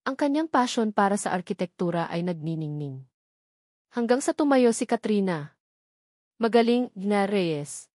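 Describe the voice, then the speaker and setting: A woman narrates a scripted love drama as its storyteller. Her voice sits at a middle pitch, and the recording is dry, with hardly any room sound. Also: expressive, moderate pace, darkish tone, no room sound.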